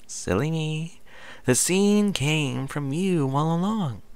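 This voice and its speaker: An excitable young mare acted out by a man reading a story, merry and playful. The voice sits high and jumps around in pitch, with cartoon-pony cheer. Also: slow, clean tone, no echo. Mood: merry, excited.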